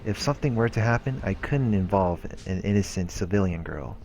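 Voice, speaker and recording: Deep-voiced man reading game narration. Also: animated, fairly quick, a little mellow, a little hiss or hum. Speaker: let's play host. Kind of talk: reading visual novel narration aloud. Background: game background music.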